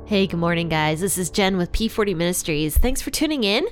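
A woman's voice: fairly low pitch, lively intonation, a little fast, over music. A woman with a head cold, sounding congested, hosting a casual Bible devotional podcast and chatting directly to her listeners. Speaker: podcast host.

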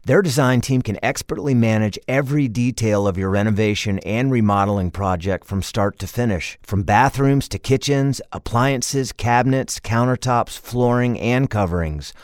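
A man reading a sponsor message in a crisp, even announcer manner. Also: mid-range voice, normal speed, hardly any pauses, dry recording, studio quality. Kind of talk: read advertisement.

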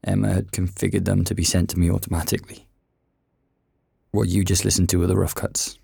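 Somber guy narrating an audio drama, mellow dark voice, close dry sound, hesitant and grave. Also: low-pitched, flat delivery, brisk, vocal fry, breathy edge, no echo, heavily acted. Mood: somber, regretful. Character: somber male narrator recounting a friend's tragedy.

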